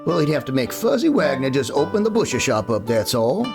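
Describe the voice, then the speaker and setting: An actor plays a folksy cowboy storyteller in a Western radio drama. His animated, rising and falling delivery is full of homespun asides, with guitar clearly behind it. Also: mid-range voice, a little fast. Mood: lively, amused. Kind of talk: old-time radio storytelling. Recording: guitar music playing behind.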